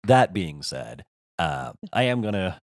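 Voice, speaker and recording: A man with a strained, hoarse voice that is giving out, chatting casually and haltingly as a podcast co-host, poking fun at himself. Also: sits low, big pitch swings, no room sound, clean studio sound. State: losing his voice. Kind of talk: casual chat.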